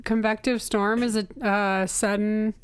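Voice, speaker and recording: A woman's voice: mid-range voice, level tone, measured pace, clear, resonant tone, no echo. A woman, a teacher co-hosting a podcast, chatting casually and thinking aloud, her voice worn from teaching.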